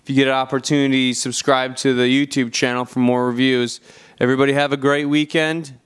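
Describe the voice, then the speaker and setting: Clear-voiced man in casual review voice-over. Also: mid-range voice, level tone, brisk. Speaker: product reviewer, channel host.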